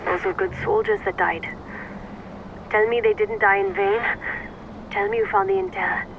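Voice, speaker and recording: An actress playing a pragmatic female rebel strategist speaking over a radio in a video game, brisk and urgent. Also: medium pitch, sing-song, creaky. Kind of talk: video game cutscene acting. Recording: muffled radio transmission with hiss.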